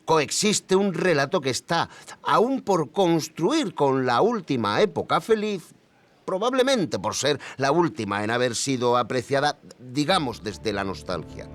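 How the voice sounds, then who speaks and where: A man's voice: fairly high pitch, sing-song, measured pace, fairly bright tone. A man with an accent from Spain playing an academic historian, explaining reflectively with small questioning murmurs. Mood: reflective, explanatory. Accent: Spanish from Spain. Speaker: expert interviewee. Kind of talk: conversational expert interview.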